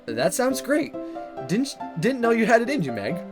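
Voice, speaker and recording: A man's voice: extremely high pitch, wildly swooping pitch, normal speed, pitched above the performer's own voice, full character voice. A man voicing an awkward but cocky teenage schoolboy prankster in a playful, exaggerated visual novel read-aloud, over music. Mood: mischievous, cocky. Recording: music playing under the voice.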